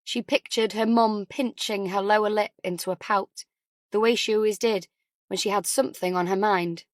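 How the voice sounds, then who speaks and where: A woman's voice: medium pitch, fairly even intonation, normal speed, dry recording, studio quality. A woman reading scripted fiction as an audiobook narrator.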